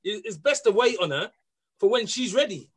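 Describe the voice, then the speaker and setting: A man with a high voice, chatting on a talk show panel. He is playful and teasing and keeps repeating his words. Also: lively intonation, normal speed, husky. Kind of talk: casual panel chat.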